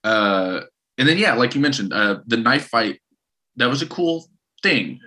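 A man in his thirties co-hosting a casual podcast, telling stories in an animated, rambling way and often restarting his sentences. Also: medium pitch, measured pace. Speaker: podcast co-host.

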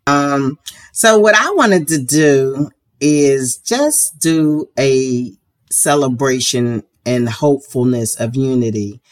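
A woman from the United States hosting her own podcast, a little hoarse from a sore throat, talking earnestly and with strong opinions. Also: low voice. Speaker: podcast host. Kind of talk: casual solo podcast talk.

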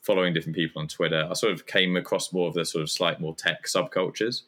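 A man in his thirties with a very deep, low voice talks about his work in a relaxed interview. He rambles loosely and fills his pauses with ums and uhs. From Germany. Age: 30s. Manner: rambling, hesitant with many fillers. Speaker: guest, community founder. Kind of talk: casual interview chat.

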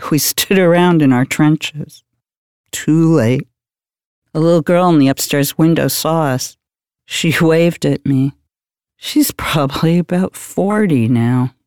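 An actor playing an older, world-weary retired homicide detective, brooding through a noir radio theater monologue. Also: male voice, fairly high pitch, a little mellow, smooth, no room sound, clean studio sound. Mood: brooding, wistful. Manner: brooding, deliberate noir storytelling.

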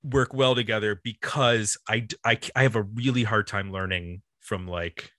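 Low-voiced American man, bright and dry. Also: fairly even intonation, dry recording. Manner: self-deprecating, meandering, halting. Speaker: music podcast co-host. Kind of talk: casual album discussion.

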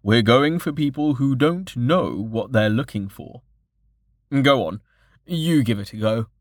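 A wily market trader and fond father, acted by a male narrator, coaxing and persuasive like a showman. His pitch moves around a lot, and he speaks in a measured, unhurried way for a storybook. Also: animated, dry recording, clean studio sound. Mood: coaxing, firm. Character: cheerful, wily merchant father, fond but firm.

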